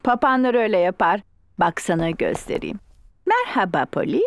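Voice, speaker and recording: A woman voicing a calm, kindly mother pig in a children's cartoon, speaking softly and patiently. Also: mid-range voice, a little fast, dry recording, studio quality. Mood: gentle. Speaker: dubbing actor. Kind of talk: cartoon dubbing.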